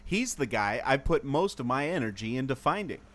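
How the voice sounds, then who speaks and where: A man's voice: medium pitch, big pitch swings, brisk, a bit bright. A man acting an earnest, knowledgeable explorer in a scripted audio adventure, with a theatrical, explanatory delivery. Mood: curious. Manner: expository, theatrical. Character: earnest male explorer, knowledgeable enthusiast of secret lore.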